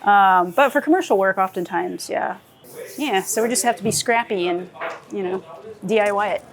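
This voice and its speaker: A woman's voice: mid-range voice, normal speed. A woman chatting informally in an easygoing, giggly way, recorded outdoors as a photographer at work. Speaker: photographer being interviewed while shooting street portraits. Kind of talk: casual unscripted chat. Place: outdoors on a city street, under a bridge. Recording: outdoor city and traffic noise.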